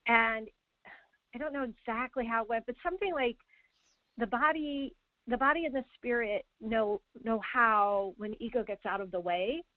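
Higher-voiced woman caller on a phone line. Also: normal speed, no room sound. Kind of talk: casual reflective chat. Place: phone call into a live show. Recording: thin, dry telephone line.

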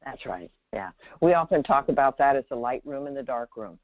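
A woman's voice: a bass voice, expressive, dry recording. Woman teaching over a tinny phone line. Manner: measured, halting, with pauses and emphatic check-in questions. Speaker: Zen teacher hosting a call-in. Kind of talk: unscripted spiritual guidance on a call-in. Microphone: telephone.

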